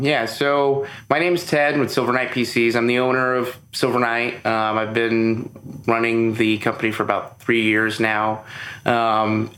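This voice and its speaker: A man's voice: mid-range voice, a bit bright, roomy sound. A man being interviewed as a guest, explaining at length in a loose, conversational way with many fillers. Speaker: interview guest, business owner. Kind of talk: casual interview chat. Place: room with audible echo, recorded in person.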